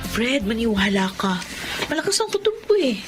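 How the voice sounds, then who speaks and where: An outspoken, loyal Filipino sister in a radio drama. The woman's voice sits fairly high and sounds protective and direct. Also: very animated, normal speed, loud music behind the voice. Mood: insistent, supportive. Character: supportive, outspoken adult sister, protective of her brother. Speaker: voice actor.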